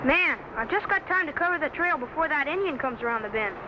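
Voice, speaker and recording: High-pitched, excitable boy assistant. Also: fast. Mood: urgent, excited. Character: eager, impulsive boy assistant to a time traveler. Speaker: actor playing the captain's assistant. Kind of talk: old-time sci-fi adventure drama acting. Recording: dull, noisy old radio-show recording.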